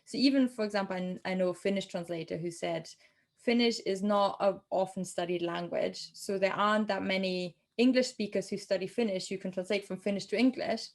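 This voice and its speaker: A woman's voice: medium pitch, brisk. A young adult woman from Germany, a native German speaker with a German accent, answering interview questions about translation in a chatty way with many ums and restarts. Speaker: translator guest.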